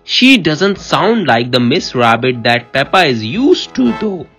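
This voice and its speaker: A woman reads aloud to a child in a low voice with animated, swinging intonation. She is playful and teacherly, repeating and prompting as she goes, and takes an unhurried pace. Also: husky, soft background music. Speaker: parent reading a picture book to a child. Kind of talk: animated read-aloud storytelling with questions to a child.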